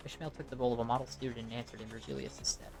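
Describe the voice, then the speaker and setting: A woman's voice: rumbling low, fairly even intonation, racing. A woman reading scripted story narration as an amateur voice-over, now and then stumbling over the text, with music behind her.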